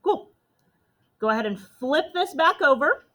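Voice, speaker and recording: A young woman with a loud voice, teaching a math class step by step. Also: sits high, expressive, unhurried. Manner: step-by-step explaining to students.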